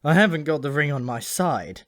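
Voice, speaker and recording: A man's voice: fairly high pitch, big pitch swings, normal speed, fairly bright tone. A man voicing an ordinary modern man in an audiobook, naive and plain, with a questioning manner. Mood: amused.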